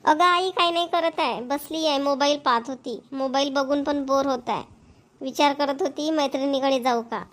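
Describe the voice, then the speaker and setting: A woman's voice: high-pitched, moderate pace, close to an everyday voice. A woman voicing a carefree, stubborn young college student, with a playful, protesting manner.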